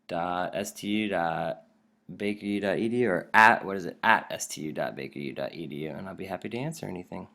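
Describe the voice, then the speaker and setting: A man's voice: deep voice, creaky, fairly bright tone. An American man speaking as a teacher, giving an informal instructional presentation in an encouraging manner.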